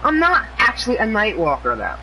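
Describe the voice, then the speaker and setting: A woman's voice: fairly high pitch, wildly swooping pitch, a little fast, pushed and bright, a little hiss or hum, low-quality recording. A woman co-commentating on a casual wrestling game stream, giggling often and teasing the others.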